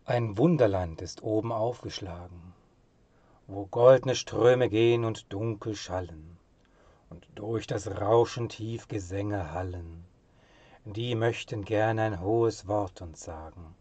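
Slow, low-voiced man reciting poetry. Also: slightly rough, no echo. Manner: measured, expressive, dropping to hushed phrase endings. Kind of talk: solemn literary poetry recitation.

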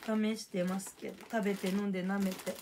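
Young female streamer, voice hoarse, casual chatty delivery, reads viewer names out between topics. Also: medium pitch, flat delivery, fairly quick, noisy background. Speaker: livestreamer. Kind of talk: casual live stream chat, thanking viewers for gifts.

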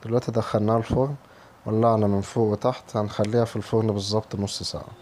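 A man with an Egyptian Arabic accent, hoarse from a cold, casually talking viewers through a recipe step by step in his home kitchen. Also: lowish voice, little pitch movement, racing, a little mellow, a little hiss or hum. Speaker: home cooking channel host. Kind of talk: cooking tutorial voice-over.

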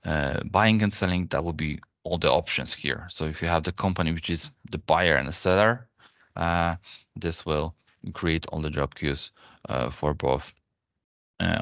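A man explaining software step by step in a webinar demo, speaking in a halting, unscripted way. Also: sits low, no room sound. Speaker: software presenter. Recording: dull, lo-fi online webinar audio.

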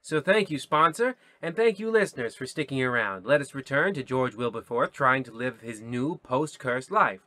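A man's voice: medium pitch, animated, moderate pace, hardly any pauses, bright, forceful tone, dry recording. A man playing a theatrical, rambling, petty host who narrates a mock old-time spooky radio show. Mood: ominous. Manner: theatrical, digressive.

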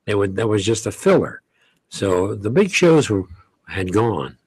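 A man's voice: lowish voice, very animated, a little mellow, slightly rough, dry recording. An older man, a longtime radio host, unhurriedly reminiscing in casual talk at a studio microphone. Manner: unhurried storyteller, chuckles while recalling. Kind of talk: relaxed reminiscing conversation. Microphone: studio condenser mic on boom.

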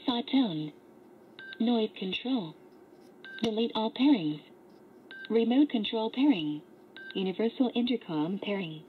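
A woman's voice: on the high side, animated, a little slow, long pauses. A woman's synthetic-sounding voice announcing short, clipped menu prompts through a small headset speaker. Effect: small device speaker. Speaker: device voice prompt. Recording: muffled lo-fi playback from small headset speaker, noisy.

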